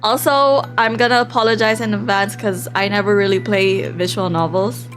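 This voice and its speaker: A woman live streaming a game in a casual, excitable, giggly way, reading text aloud and reacting expressively as she goes. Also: medium pitch, normal speed, faint music behind. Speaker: livestream host.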